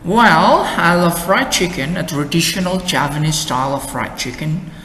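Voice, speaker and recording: A young adult man with an Indonesian accent, an English exam tutor explaining slowly and carefully with model answers. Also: on the high side, lively intonation, bright, forceful tone, a little hiss or hum. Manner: slow, careful explaining with many "and then" links. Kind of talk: lesson with sample answers. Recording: echoey room with faint ambience.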